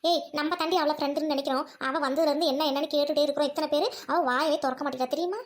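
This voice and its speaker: A woman's voice: sits high, steady tone, racing, natural voice. A woman playing a hot-tempered, caring older village woman in a drama, scolding and questioning in colloquial speech. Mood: insistent. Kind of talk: village comedy-drama acting.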